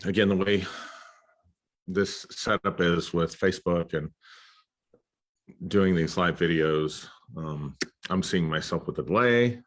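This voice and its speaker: A man hosting a casual live stream, stuffed up and sniffly, talking haltingly with lots of fillers and throat clearing. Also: deep voice. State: congested, sniffly. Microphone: computer or phone mic.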